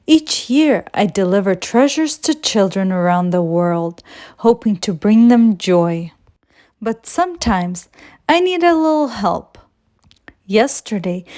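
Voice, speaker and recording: A kindly old Father Christmas figure from a children's storybook , acted by a woman. The delivery is slow, gracious and measured, full of thanks. The pitch is medium. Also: a little mellow. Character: kindly old man Santa Claus writing warm thanks.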